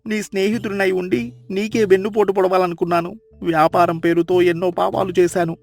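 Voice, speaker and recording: A man's voice: high-pitched, flat delivery, brisk, creaky, faint music behind. A man playing a vain, scheming village merchant in a storybook tale, speaking in an emphatic, declamatory way. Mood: remorseful. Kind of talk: dramatised storybook dialogue.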